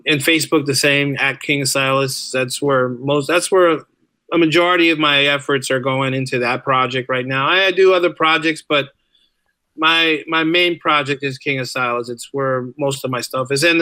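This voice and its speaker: A man with a bright, forceful and fairly high voice talks as a guest on a podcast. His style is casual and conversational, and he repeats words to drive a point home. The recording is dry and close. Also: dry recording. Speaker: podcast guest, writer and audio drama creator. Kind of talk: casual interview chat.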